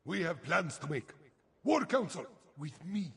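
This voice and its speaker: An actor playing a stern, loyal cat-folk statesman in a fantasy video game, raspy, grave and formal. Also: fairly high pitch, very animated, unhurried, fairly bright tone, full character voice.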